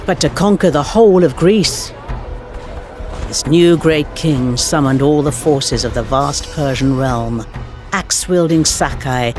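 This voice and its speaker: Higher-pitched male storyteller over music. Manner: measured storyteller with wry asides. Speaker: narrator. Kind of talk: scripted dramatic historical narration for game cutscenes. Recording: music under the voice. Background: background music.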